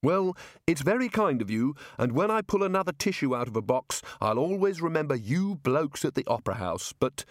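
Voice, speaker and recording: A man's voice: a little high, very animated, brisk, dry recording, pitched above the performer's own voice. Man acting a mild, wry, hapless wizard. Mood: friendly.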